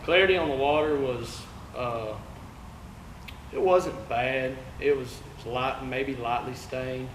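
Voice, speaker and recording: A man from East Texas with an East Texas accent, a guest angler talking casually in a laid-back, halting way full of fillers. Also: mid-range voice, fairly even intonation. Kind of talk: casual chat. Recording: echoey room with loud ambient noise.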